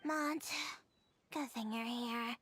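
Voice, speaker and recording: A woman's voice: fairly high pitch, takes it slowly, a bit bright, a little hiss or hum. A woman playing a small, polite, dutiful female waterway officer in a video game, speaking formally like an announcer. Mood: relieved. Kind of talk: video game character acting. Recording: game music behind.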